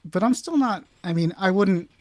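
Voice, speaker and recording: A middle-aged man co-hosting a casual tech chat on a video call, halting and self-deprecating, often trailing off, from a home room. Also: high voice, animated, darkish tone, no echo. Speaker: podcast co-host.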